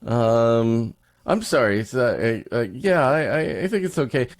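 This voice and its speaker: A man's voice: mid-range voice, expressive, moderate pace, dry recording. A man hosting a podcast, trading wry, joking, casual banter. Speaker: podcast host.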